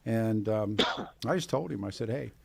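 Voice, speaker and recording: A man's voice: fairly low pitch, normal speed, vocal fry, a little mellow, husky. A man in his eighties with an American accent hosting a live radio interview, chatting casually in an effusive, joking, rambling way. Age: 80s. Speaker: radio talk host.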